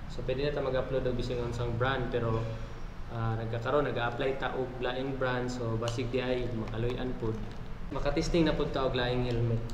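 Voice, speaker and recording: A Filipino man with a Visayan accent giving a casual gear demonstration as a vlogger, talking conversationally and checking in with viewers. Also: medium pitch. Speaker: motovlogger presenting his gear. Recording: echoey reverberant room, clear background noise.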